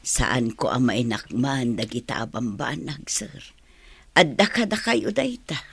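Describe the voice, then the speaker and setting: Very low, husky village mother played by an actress. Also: animated, a little slow, no echo, heavily acted. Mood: humble, wary. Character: humble, poor village mother. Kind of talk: Ilocano radio drama acting.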